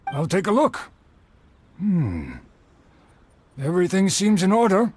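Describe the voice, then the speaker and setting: An actor playing a businesslike caravan leader in a video game, brisk and commanding. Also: male voice, high-pitched, wildly swooping pitch, darkish tone, husky, no room sound, exaggerated voice. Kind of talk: video game character dialogue.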